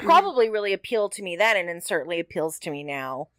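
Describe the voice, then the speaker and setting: A woman's voice: on the low side, very animated, quick pace, fairly bright tone, dry recording. A woman talking casually and enthusiastically as a co-host on a fan podcast about television. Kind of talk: casual panel discussion of a TV show.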